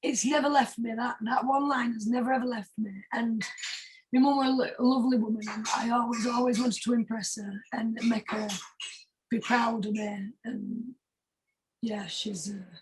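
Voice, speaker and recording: A British woman with a husky voice chatting casually as a singer being interviewed, informal and emphatic, often repeating herself for stress. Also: fairly high pitch, steady tone, normal speed, noisy background. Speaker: singer-songwriter guest.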